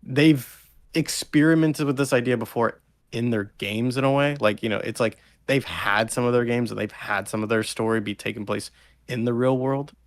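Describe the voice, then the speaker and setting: A man's voice: medium pitch, moderate pace, dry recording. A man co-hosting a podcast in casual discussion, speaking informally with plenty of slang. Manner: informal, slangy, occasional swearing. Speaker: podcast co-host.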